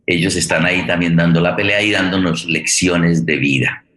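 A man with a Colombian accent speaking as a host in an unscripted, reflective introduction, halting and repeating words as he goes. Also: low voice, steady tone, fairly bright tone. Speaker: host and theater director. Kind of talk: unscripted spoken introduction to a forum. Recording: roomy, echoey sound.

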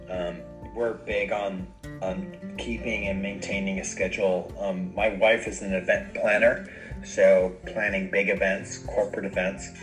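A man's voice: fairly low pitch, a bit bright, poor audio. A middle-aged man from Ireland, a podcast guest talking casually and rambling with many fillers and restarts. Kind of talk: casual interview chat.

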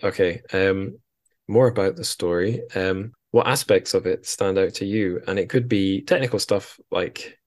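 A man's voice: sits low, unhurried. A man hosting a podcast, interviewing a guest in a casual, conversational way.

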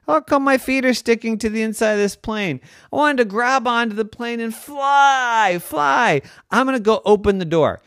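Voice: medium pitch, moderate pace, hardly any pauses, fairly bright tone, no room sound, clean studio sound, pitched above the performer's own voice, natural voice. A storyteller doing a whiny, dreamy little boy. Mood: eager. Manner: whiny, wistful. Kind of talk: improvised children's story.

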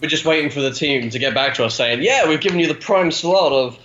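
A man's voice: on the high side, fast, a bit bright, slight background noise. A man in a band joking around in a group interview, often breaking into exclamations. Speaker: band member being interviewed. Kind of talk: jokey group banter. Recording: dull, echoey room sound.

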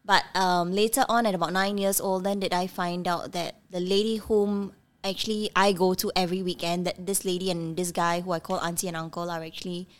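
A woman's voice: lowish voice, fairly quick, hardly any pauses. A woman in her thirties whose first language is Cantonese, candidly telling her childhood story as a podcast guest. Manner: candid, animated storytelling with many fillers. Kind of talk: personal life story interview.

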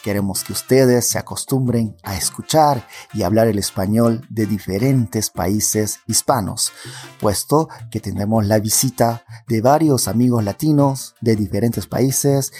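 A man from Peru with a Peruvian Amazonian accent, hosting a podcast for Spanish learners in a welcoming, measured way. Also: lowish voice, warm tone, soft background music. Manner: welcoming, measured, with some pauses and fillers. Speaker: podcast host.

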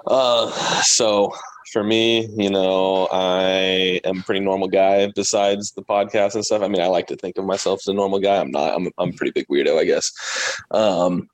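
A man's voice: sits low, fairly even intonation, normal speed, dry recording. A young American man chatting casually as a podcast guest, rambling a little and self-deprecating. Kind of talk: casual chat.

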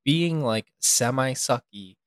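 A man with an American accent chatting casually as a co-host, halting and emphatic, with a jokingly dramatic streak. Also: medium pitch, expressive, no room sound, studio quality. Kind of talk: casual chat.

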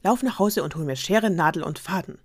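A worried storybook mother goat speaks up loudly and urgently, a little higher than the reader's own voice, as a woman plays her. The sound is dry and close. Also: brisk, slightly rough, no echo. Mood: alarmed. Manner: alarmed, urgent. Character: anxious mother goat, caring and frantic.

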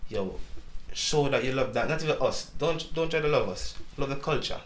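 A Jamaican man, a dancehall dancer, talking casually in an interview with a relaxed, patois-inflected way of speaking. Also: mid-range voice, normal speed, reverberant, slight background noise.

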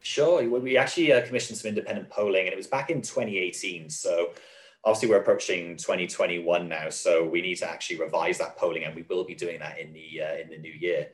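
A man explaining policy in an interview, earnest and measured, often restarting and repeating phrases. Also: low-pitched, steady tone. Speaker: guest, advocacy spokesman.

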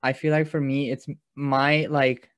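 A man's voice: medium pitch, little pitch movement, unhurried, clear, resonant tone, dry recording, studio quality. A man co-hosting a casual podcast, rambling with constant uses of "like". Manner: rambling, heavy use of 'like'. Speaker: podcast co-host.

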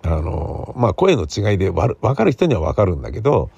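Low, gravelly man chatting casually. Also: big pitch swings, brisk, warm tone, dry recording, highs cut off. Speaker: radio drama writer and theatre playwright. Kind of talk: casual solo chat, thinking aloud.

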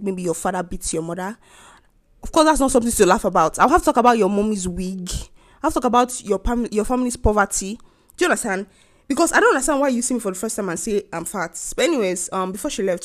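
A young woman in her twenties with a Nigerian accent, hosting a solo podcast and venting in a casual, animated way about rude remarks and being overcharged. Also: mid-range voice. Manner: animated, indignant storytelling with mocking quoted voices. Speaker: podcast host.

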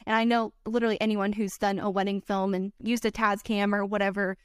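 A woman's voice: mid-range voice, little pitch movement, fairly quick, clean tone, no echo, studio quality. A woman wedding filmmaker, a podcast guest, talking in an earnest, chatty way full of "like" and "you know". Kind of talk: casual podcast chat.

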